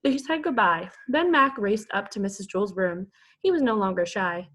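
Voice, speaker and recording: Woman teacher doing an expressive read aloud of a kids chapter book, mid pitch. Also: moderate pace. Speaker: third grade teacher reading aloud.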